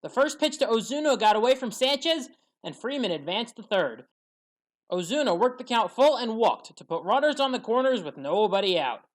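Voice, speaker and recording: A woman reading a scripted play-by-play sports recap in a measured, factual way. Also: on the high side, animated, rough recording. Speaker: sports highlights commentator.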